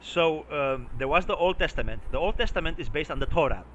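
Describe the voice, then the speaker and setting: A man's voice: fairly high pitch, expressive, brisk, fairly bright tone, dull audio, slight background noise. A man from Romania in a casual conversation, often stammering and repeating words as he searches for them. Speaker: vlogger chatting with a stranger.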